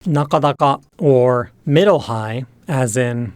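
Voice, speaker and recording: A man's voice: mid-range voice, no room sound. Man teaching with very dry, close sound. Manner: measured, explanatory, enunciates key terms. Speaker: teacher presenting a language lesson. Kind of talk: scripted instructional narration.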